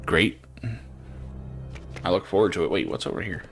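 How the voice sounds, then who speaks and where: A man's voice: sits low, lively intonation, moderate pace, vocal fry, fairly bright tone, faint music behind. A man doing casual gameplay commentary and reading quest text aloud, with dry, muttered, sweary asides. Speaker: game streamer.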